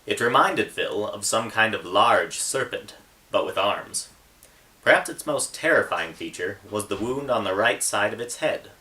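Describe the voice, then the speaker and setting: A man with a fairly low, bright and forceful voice speaks as an author presenting his own writing. The microphone sounds set well away from him. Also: animated, unhurried, far from the mic, poor audio. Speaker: author reading from own book. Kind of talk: casual book intro, then narrated reading.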